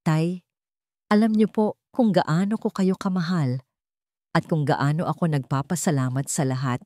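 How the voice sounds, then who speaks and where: A woman's voice: sits low, normal speed, mellow, no room sound, lightly acted. A respectful, dreamy young Filipino daughter , as a woman narrator plays her in a romance audio story. She is tender and earnest, and the sound is dry and close. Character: loving, respectful, idealistic young adult daughter.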